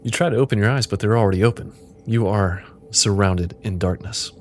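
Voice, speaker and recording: A man's voice: on the low side, a little fast, faint music behind. A man hosting a podcast as game master, mixing casual chat with dramatic interactive fiction narration.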